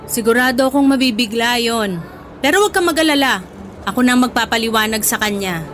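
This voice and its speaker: A woman's voice: a little high, expressive, fairly quick, fairly bright tone, slight background noise. A woman playing a middle-aged Filipina mother in a radio drama, affectionate yet exasperated, with a Filipino accent. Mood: fond scolding. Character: Filipina mother, fond, teasing, scolding, uneasy about home. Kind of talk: Tagalog radio drama acting.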